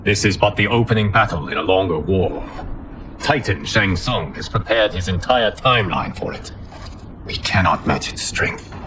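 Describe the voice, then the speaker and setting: An actor playing a noble, burdened god and protector in a video game, speaking gravely and formally. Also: male voice, low-pitched, animated, normal speed, background noise. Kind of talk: video game cinematic acting. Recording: music behind the voice. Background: music.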